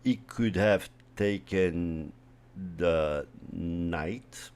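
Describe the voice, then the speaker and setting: Slow, deep-voiced man thinking aloud. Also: smooth. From Portugal. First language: Portuguese. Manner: slow, thinking aloud, trails off into quiet asides. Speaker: chess streamer. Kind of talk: casual live commentary over a chess game.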